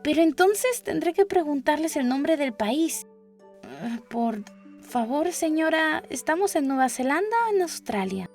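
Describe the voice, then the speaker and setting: A woman's voice: high voice, sing-song, a little slow, darkish tone, faint music behind, pitched above the performer's own voice, full character voice. A woman voicing a curious, dreamy young girl who chatters and muses to herself in a storybook. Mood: chattering, curious. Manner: musing aloud, questioning, wordy. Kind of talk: storybook character voice.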